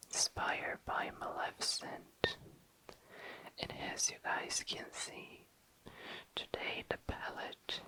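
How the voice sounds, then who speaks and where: An ASMR host speaking in a very low, breathy whisper close to the microphone, deliberately lingering on and repeating words. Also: bright, forceful tone, low-quality recording. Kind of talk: ASMR, slow soft whispering.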